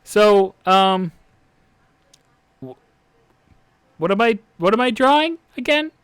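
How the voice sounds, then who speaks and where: A man voicing a skittish young female assistant who stammers and repeats herself in a high voice. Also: animated, slow, fairly bright tone, clear, resonant tone, dry recording, exaggerated voice. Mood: flustered.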